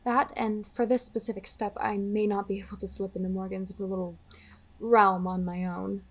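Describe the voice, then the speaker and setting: A woman acting as a self-assured, enigmatic witch in an audio drama. Her voice is husky and medium in pitch, and she speaks with composed, wry deliberation. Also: little pitch movement, moderate pace, close to an everyday voice. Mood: matter-of-fact.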